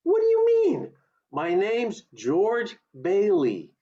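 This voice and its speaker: A woman's voice: medium pitch, wildly swooping pitch, slow pace, mellow. A woman acting a bewildered, agitated everyman in a radio play, stammering and urgent, over a video call. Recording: dull, lo-fi video call audio.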